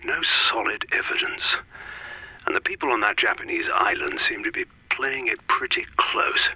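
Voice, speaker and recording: An actor playing an exasperated, suspicious British senior intelligence officer in a radio drama, brusque and grumbling. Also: male voice, fairly low pitch, animated, normal speed, sharp tone, husky, no room sound, full character voice. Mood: suspicious, musing. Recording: muffled, dull sound.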